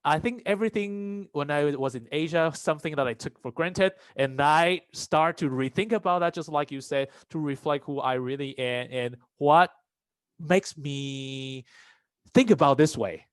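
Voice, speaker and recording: Casting note: young man from Taiwan, higher voice, dry close recording, thoughtful and halting. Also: smooth, dry recording. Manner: reflective, restarts often. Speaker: international student mentee. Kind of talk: casual conversation.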